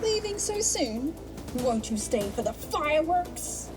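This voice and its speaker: High-pitched gloating genie villainess. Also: female voice, sing-song, measured pace, loud music behind the voice. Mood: mocking. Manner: taunting, theatrical. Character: gloating villainous female genie, playful and menacing. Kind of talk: audio drama acting.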